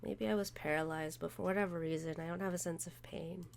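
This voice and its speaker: A woman's voice: on the low side, fast, vocal fry, slight background noise. A woman reading a detached young man who feels no pain. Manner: reads game text aloud flatly. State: congested.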